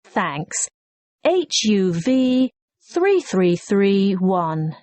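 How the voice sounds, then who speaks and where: A woman's voice: mid-range voice, sing-song, extremely slow, a little mellow, no echo. A woman playing a courteous, efficient hotel receptionist in a scripted listening-test dialogue, formal and helpful.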